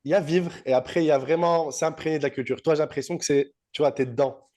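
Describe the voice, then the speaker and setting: A man's voice: fairly high pitch, steady tone, fast, darkish tone. A man hosting a podcast in a casual, chatty interview style. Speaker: podcast host.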